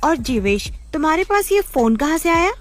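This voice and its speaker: Woman acting an earnest young teacher, high-pitched. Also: big pitch swings, normal speed, faint music behind. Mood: angry, scolding. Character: earnest young female teacher, pleading, easily angered. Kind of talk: animated horror-moral story character acting.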